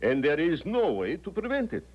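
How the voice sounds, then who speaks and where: An actor playing an imperious prince and secret police chief in a 1970s radio drama, husky, grand and formal. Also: male voice, on the high side, wildly swooping pitch, moderate pace. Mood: astonished, stern. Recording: dull 1970s radio recording.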